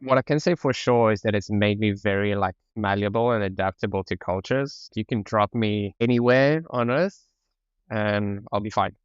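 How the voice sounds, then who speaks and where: A man's voice: fairly low pitch, moderate pace, clear, resonant tone, no echo, highs cut off. A man with a mixed French and South African accent, talking as a podcast guest in an easygoing way, often laughing. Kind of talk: casual conversational interview.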